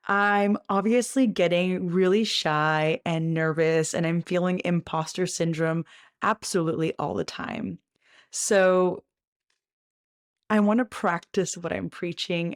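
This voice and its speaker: Low, gravelly-voiced woman podcast host. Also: dry recording, clean studio sound. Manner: earnest, encouraging, with frequent "right?" asides. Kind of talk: motivational podcast monologue.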